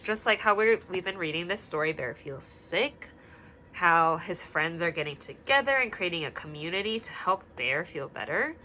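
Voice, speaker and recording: A woman teacher talking gently to young children, singing little hand-washing songs and reading a picture book aloud with many questions. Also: lowish voice, lively intonation, moderate pace, slight background noise. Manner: gentle, sing-song, asks many questions.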